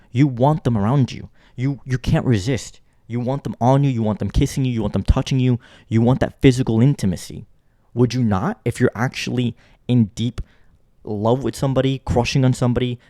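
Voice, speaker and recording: A man gives blunt reaction commentary and hammers his points home by repeating them. His voice is mellow and dark at a middle pitch. The recording is dry and close. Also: dry recording.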